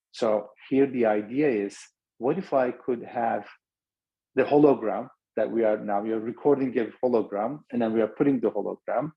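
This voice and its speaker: Turkish-accented man, husky mellow voice. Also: medium pitch, rough recording. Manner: loose, thinking aloud with many restarts. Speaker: startup founder presenting. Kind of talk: webinar talk.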